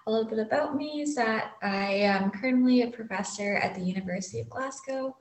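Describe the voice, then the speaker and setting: A woman from Canada, an artist and professor, introducing herself calmly with small fillers. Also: a little high, fairly quick, echoey room. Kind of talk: casual self-introduction.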